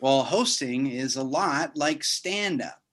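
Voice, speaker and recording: A man's voice: medium pitch, lively intonation, takes it slowly, a bit bright. A man playing a falsely friendly rival comedian in his thirties, chummy and needling, in a comedy table read. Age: 30s.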